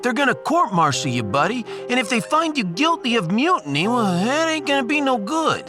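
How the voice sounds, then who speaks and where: Gruff New working guy, very animated. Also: very high voice, a little fast. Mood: hurt, earnest. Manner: folksy, street-tough, calls people buddy. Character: gruff, wounded blue-collar New Jersey man, ship's welder. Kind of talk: sci-fi audiobook character acting. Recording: music behind the voice.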